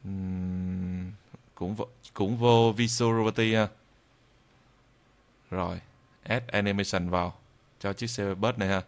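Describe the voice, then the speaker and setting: A man narrating an informal software tutorial in a halting way, with frequent drawn-out hesitations. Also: sits low, fairly even intonation. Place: indoors at a computer, screen recording voice-over.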